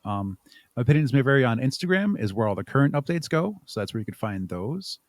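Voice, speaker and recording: A man speaks casually in a podcast interview with a medium-pitched voice. He sounds informal and a little hesitant, working out his thoughts as he goes. The sound is dry, with very little room to it. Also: very animated, a little fast, darkish tone, no room sound. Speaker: podcast guest, audio drama creator and podcaster.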